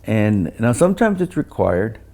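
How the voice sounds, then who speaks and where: An older man speaks in a low, gravelly voice with a mellow tone. He talks like a seasoned engineer in casual conversation, halting and doubling back on words as he tells his anecdotes. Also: very animated. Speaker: loudspeaker designer.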